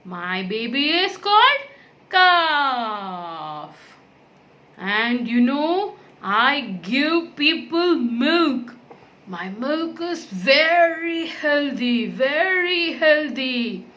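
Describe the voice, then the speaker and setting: A woman's voice: high-pitched, big pitch swings, very slow, sharp tone, full character voice. A woman voicing a cheerful, friendly cow puppet in a children's educational show, speaking eagerly in simple, repetitive phrases. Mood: cheerful, proud.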